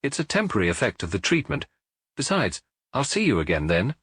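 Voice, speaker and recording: A man voicing a calm, courteous male spa doctor in a storybook reading, speaking in a measured, polite and reassuring way. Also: mid-range voice, husky, dry recording, clean studio sound, heavily acted.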